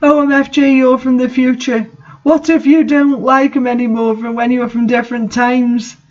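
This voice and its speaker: A woman voicing a naive, excitable teenage goth Satan in a gushing way for a fan fiction reading. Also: sits high, moderate pace, dull audio, voice pushed up, natural voice. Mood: anxious.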